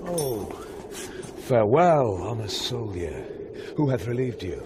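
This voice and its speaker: British sentry, earnest and anxious, Shakespearean radio drama; deliberate pace, lively intonation, urgent intensity. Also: male voice, medium pitch. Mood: wary greeting. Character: earnest, anxious male night-watch soldier. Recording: noisy 1990s radio drama with ambience.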